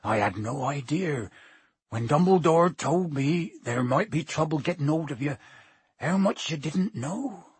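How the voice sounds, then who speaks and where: A man voicing a gruff, warm-hearted giant in an audiobook, rough-voiced, with rustic, blustering and halting speech. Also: on the high side, expressive, no room sound, exaggerated voice. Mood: anxious. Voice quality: husky, rough.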